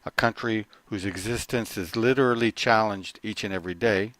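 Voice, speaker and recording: A middle-aged man giving an earnest personal testimony, often halting and restarting his phrases. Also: lowish voice, fairly bright tone, no echo, poor audio. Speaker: corporate trainer.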